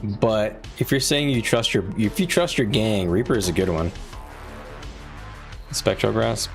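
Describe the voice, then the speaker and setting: A man's voice: on the low side, a little slow, creaky. A man talking casually to viewers on a live gaming stream, explaining things in an informal, conversational way. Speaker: game livestreamer. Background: music.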